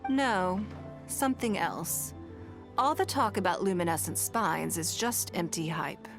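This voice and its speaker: A woman voicing a composed, shrewd, wealthy business lady in a video game, speaking in a measured, elegant, formal way. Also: fairly low pitch. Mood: dryly skeptical. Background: background music.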